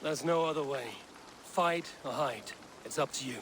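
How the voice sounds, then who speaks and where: An actor playing a calm, resolute Norse raider leader with dry wit in a game cutscene, direct and measured. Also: male voice, fairly high pitch, close to an everyday voice. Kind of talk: dramatic game cutscene acting. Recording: noisy outdoor ambience behind.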